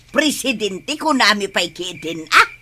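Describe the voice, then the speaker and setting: An actress with a Filipino accent playing a teasing, giggly village gossip in a radio comedy drama. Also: female voice, mid-range voice, big pitch swings, measured pace, heavy background noise. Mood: gleeful.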